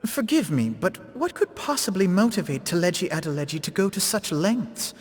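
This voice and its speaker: A man's voice: high-pitched, big pitch swings, normal speed. An actor playing an earnest, polite, learned young man in a fantasy video game, speaking in a courteous, formal, inquiring way. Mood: puzzled, courteous. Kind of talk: scripted video game drama. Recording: long hall-like reverb.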